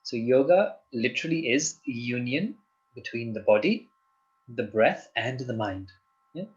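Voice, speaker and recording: Man from Zimbabwe as interview guest, calm teacherly explaining, muffled lo-fi sound. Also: mid-range voice, unhurried. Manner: calm, explanatory, often checks in with "yeah?". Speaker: guest, doctor and yoga teacher. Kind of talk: interview answers.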